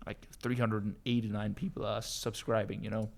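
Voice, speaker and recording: A Danish man speaks English as his second language, with a low voice that stays fairly level. He talks loosely and casually, peppering his answers with bro and um as he coaches his viewers. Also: little pitch movement, a little fast, vocal fry. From Denmark. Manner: casual, calls listeners bro, many ums and uhs. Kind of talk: casual live-stream Q&A.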